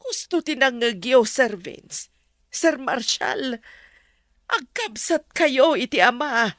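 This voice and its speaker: An actress playing a frank household confidante in a drama, explaining firmly and with reproach. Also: female voice, high-pitched, very animated, slow pace, fairly bright tone, dry recording, clean studio sound, exaggerated voice. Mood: reproachful. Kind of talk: drama dialogue.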